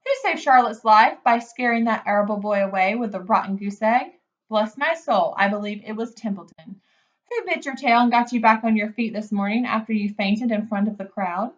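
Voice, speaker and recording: A woman's voice: fairly high pitch, normal speed, highs cut off, natural voice. A woman voicing a grumbling, self-pitying old male rat from a children's storybook, with a mocking, complaining manner. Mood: boastful grumbling. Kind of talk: children's storybook character reading.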